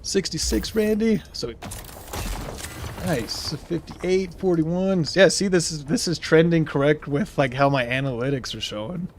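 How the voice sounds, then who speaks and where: Thirties male streamer, casual and meandering, slightly high voice, talks to his chat between plays. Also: measured pace, a little hiss or hum. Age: 30s. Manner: rambling, chatty, reads and answers viewer chat. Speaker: game streamer. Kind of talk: casual live-stream chat while gaming.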